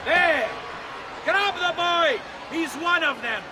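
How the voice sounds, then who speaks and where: An actor playing a pompous, treacherous official, declaiming judgement in a stately public voice. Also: male voice, extremely high pitch, slow, a crowd in the background, noisy background. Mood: commanding.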